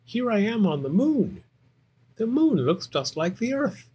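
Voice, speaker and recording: A man's voice: high-pitched, sing-song, a little slow, darkish tone, husky, voice pushed up, exaggerated voice. A man voicing an eager, innocent little bear cub in a children's storybook, with childlike, earnest delivery. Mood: excited.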